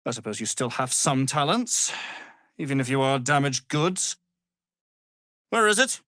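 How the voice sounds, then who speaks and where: An actor playing an arrogant, power-hungry wizard in a video game, speaking in a pompous, theatrical and condescending manner. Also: male voice, medium pitch, expressive, unhurried, pushed and bright, no room sound, studio quality. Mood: scornful.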